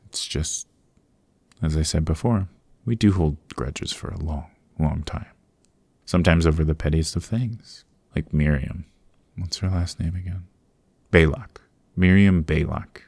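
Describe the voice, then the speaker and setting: A man playing a courteous, chatty old vampire in an ASMR roleplay, speaking in genteel, old-fashioned phrases with a deep, gravelly, husky voice. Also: a little mellow, no echo, heavily acted. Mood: apologetic, wistful. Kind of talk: ASMR roleplay, interview monologue. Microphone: close microphone, dry.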